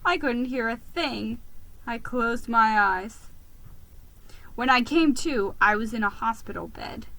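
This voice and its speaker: A woman's voice: a little high, takes it slowly, pushed and bright, heavily acted, poor audio. A woman voicing a beleaguered male mouse who tells his own story in a dramatic, self-pitying manner. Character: beleaguered, melodramatic male mouse narrator, a publisher.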